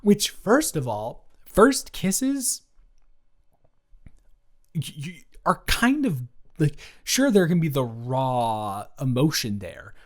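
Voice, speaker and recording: A man playing a teasing, supportive male roommate in an improvised romantic audio roleplay, talking casually and stammering, with animated outbursts. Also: on the high side, slow pace. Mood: indignant, reassuring. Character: male roommate and friend, teasing, supportive, indignant on her behalf.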